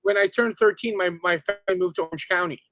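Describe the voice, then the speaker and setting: A man, a guest on a casual talk show, telling stories with lively quoted voices. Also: sits high, fast, no room sound, soft background music. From Philippines. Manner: animated storyteller, quotes people in voices. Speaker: podcast guest. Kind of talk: casual interview chat.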